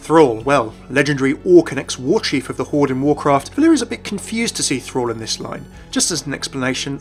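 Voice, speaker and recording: A man hosting a video game channel, reading a scripted explanatory narration. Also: medium pitch. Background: background music.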